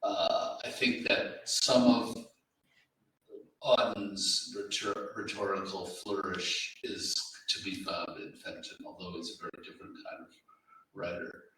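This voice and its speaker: Slow, low-voiced man in a very echoey room. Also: sharp tone. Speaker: event speaker. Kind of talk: informal spoken commentary at a literary event. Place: large reverberant room at a live event. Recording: very echoey room, distant mic, faint noise.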